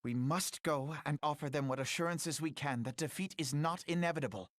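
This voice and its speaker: A man's voice: on the high side, brisk, no echo, clean studio sound, natural voice. A man playing an earnest, resolute young scholar leader in a video game, with formal, theatrical diction. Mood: grave resolve. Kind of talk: dramatic video game cutscene acting.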